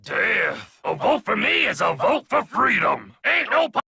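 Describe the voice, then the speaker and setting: An actor voicing a bombastic, self-righteous patriotic politician in a fighting game, declaiming pompously like a stump speech. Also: male voice, high-pitched, big pitch swings, unhurried, vocal fry, sharp tone, slightly rough, no room sound, studio quality, full character voice. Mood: pompous, defiant. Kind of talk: video game character battle lines.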